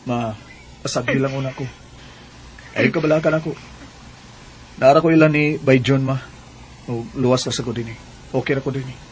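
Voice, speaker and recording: An actor playing a defiant, hot-headed young son in a Cebuano radio drama. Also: male voice, mid-range voice, steady tone, moderate pace, long pauses, slight background noise, close to an everyday voice, rough recording. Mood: subdued, reassuring. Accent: Cebuano Bisaya. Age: young adult. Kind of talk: Bisaya radio drama acting.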